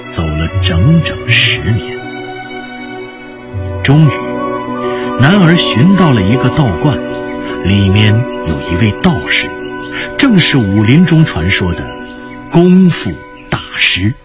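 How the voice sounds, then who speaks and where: A man's voice: mid-range voice, sing-song, slow, long pauses, dull audio. A man narrating a martial-arts radio drama in a dramatic storytelling style. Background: music.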